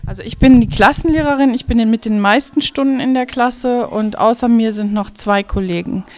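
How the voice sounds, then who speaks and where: A woman's voice: a little high, normal speed, darkish tone. A middle-aged woman teacher answering interview questions, explaining patiently.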